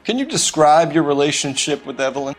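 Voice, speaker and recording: Man acting young American detective, stern, dogged, clipped, accusatory, animated intonation, game drama, background music. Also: a little high, fairly quick, natural voice. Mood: accusing.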